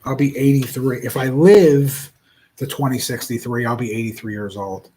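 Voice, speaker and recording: A man in his forties speaking in a casual reflective monologue, rambling and halting with many restarts. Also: mid-range voice, dark tone, slight background noise. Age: 40s.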